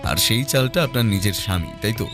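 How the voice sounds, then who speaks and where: A man playing a polite, persistent private detective in an audio drama, questioning directly and calmly. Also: on the low side, fast talker, soft background music. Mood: calm, probing.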